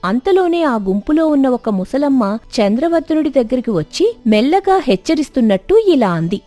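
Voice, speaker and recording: A woman's voice: sits high, big pitch swings, normal speed, mellow, dry recording, faint music behind. A woman narrating a scripted story in a dramatic storytelling style.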